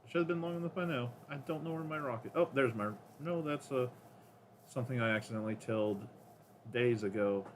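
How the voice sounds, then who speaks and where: A man with a deep voice casually rambling to himself while streaming a game. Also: brisk, slight background noise. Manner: rambling, self-talking, counts under breath. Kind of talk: casual gameplay commentary.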